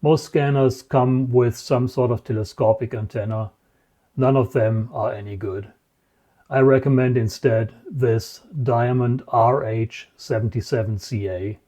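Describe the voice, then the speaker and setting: A man presents a technical demonstration in a soft, mellow voice. His delivery is measured and matter-of-fact, explaining things as scripted narration at an average pitch. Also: fairly even intonation. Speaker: presenter, radio scanning enthusiast.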